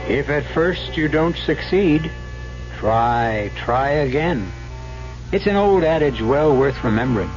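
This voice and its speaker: A man hosting a radio mystery anthology, narrating theatrically in a wry, measured way over music. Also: mid-range voice. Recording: muffled old radio broadcast with music.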